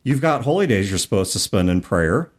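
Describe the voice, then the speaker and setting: A man co-hosting a podcast in a casual, explanatory way, animated and expressive as he talks and reads aloud. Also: on the low side, brisk. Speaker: podcast co-host. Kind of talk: casual chat about tabletop gaming, reading passages aloud.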